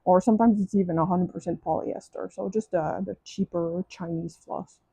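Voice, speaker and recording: French-accented woman gushing over craft stuff, mellow husky voice, kinda dull muffled recording. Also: lowish voice, steady tone, normal speed, dry recording. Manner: chatty, gushing, frequent restarts and "um"s. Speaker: crafting vlogger. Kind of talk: casual unboxing chat.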